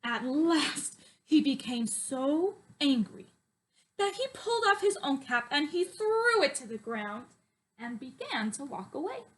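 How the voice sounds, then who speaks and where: A woman teacher reading a picture book to young children in an expressive, sing-song, emphatic way and putting questions to them. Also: high voice, a little slow, low-quality recording.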